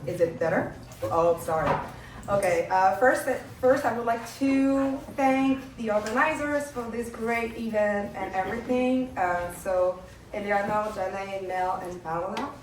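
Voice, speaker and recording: A woman's voice: mid-range voice, a little slow. Casual woman moderator, distant mic. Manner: casual, many "like" fillers. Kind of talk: panel moderating. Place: crowded hall. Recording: echoey room, distant mic, noise.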